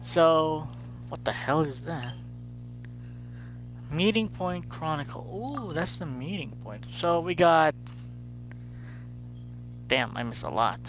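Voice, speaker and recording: A man's voice: on the high side, lively intonation, takes it slowly, long pauses, a bit bright, soft background music, low-quality recording. A man doing casual live gameplay commentary as a streamer, muttering to himself and repeating his phrases. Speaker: game streamer.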